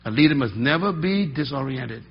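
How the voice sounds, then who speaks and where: Middle-aged male pastor, husky, emphatic folksy sermon delivery. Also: medium pitch, expressive, normal speed. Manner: emphatic, rising and dropping for effect, folksy asides. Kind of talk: sermon teaching. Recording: dull lo-fi sermon recording.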